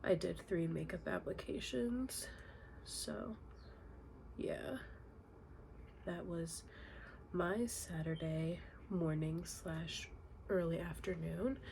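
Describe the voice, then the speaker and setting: A woman's voice: lowish voice, slow pace, creaky, pauses often, a little hiss or hum. Congested young woman chatting about makeup. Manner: chatty, relaxed, many fillers. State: congested, nasal from a cold. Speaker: beauty vlogger. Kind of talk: casual makeup tutorial chat. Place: home, indoors.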